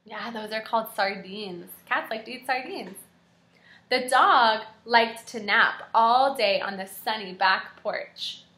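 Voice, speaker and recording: A young woman teaching kindergarten, reading aloud and prompting children with animated, encouraging questions. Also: fairly high pitch, slow. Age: young adult. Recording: echoey indoor room.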